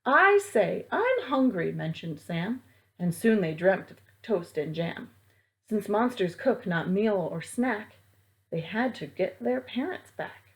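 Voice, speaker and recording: A woman reading a rhyming picture book aloud to children in a theatrical, deliberate way, as a library storytime reader. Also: on the low side, darkish tone.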